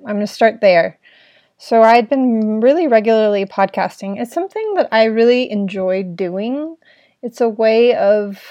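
A woman hosting her own podcast, talking in an earnest, rambling way with a gravelly, smoke-irritated throat. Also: mid-range voice, dry recording, studio quality. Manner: earnest, rambling, with frequent "right?". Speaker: podcast host. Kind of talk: reflective solo podcast talk. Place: at home indoors.

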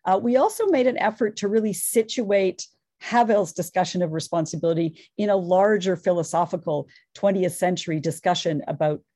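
A woman's voice: on the low side, a little fast, dark tone, no room sound, clean studio sound. A woman scholar giving a conference presentation, earnest and enthusiastic, thinking aloud as she goes. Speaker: academic panelist.

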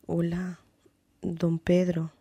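A young babysitter in a radio drama, polite and hesitant. Her voice is soft-toned and husky, slow, and very dry in sound. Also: on the low side, monotone, no room sound, heavily acted. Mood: uneasy. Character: nineteen-year-old babysitter, polite, easily unsettled.

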